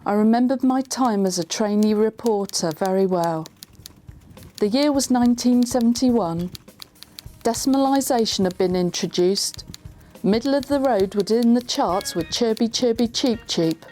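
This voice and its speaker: A woman's voice: on the high side, moderate pace, mellow, close to an everyday voice. A woman playing a former trainee reporter, narrating her memories in a scripted radio drama. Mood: reminiscing. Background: music.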